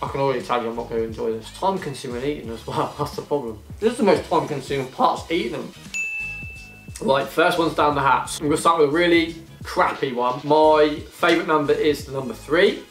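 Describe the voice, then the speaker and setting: A man's voice: fairly high pitch, a little fast, a bit bright, reverberant, soft background music. A young man in his twenties from England, chatting casually in a self-deprecating, rambling way while eating through a vlog Q and A. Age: 20s. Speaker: YouTuber.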